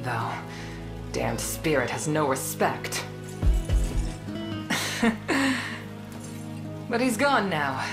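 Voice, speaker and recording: A woman's voice: low voice, exaggerated voice. A woman voicing a cocky, battle-hungry young fighter with a swaggering drawl in a fantasy video game. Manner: swaggering, teasing, relaxed drawl. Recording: music behind the voice.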